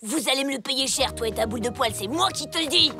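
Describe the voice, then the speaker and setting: A woman's voice: sits high, quick pace. A woman voicing a mocking bully kid in a dubbed cartoon, talking in a sneering, taunting way. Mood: threatening. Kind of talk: cartoon dub acting. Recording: cartoon soundtrack with music.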